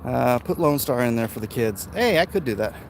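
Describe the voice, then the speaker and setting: A man's voice: mid-range voice, expressive, brisk. American man chatting outdoors over street noise. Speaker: livestream host. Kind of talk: casual walking livestream chat. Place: outdoors, walking along neighborhood streets. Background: urban outdoor ambience, vehicles.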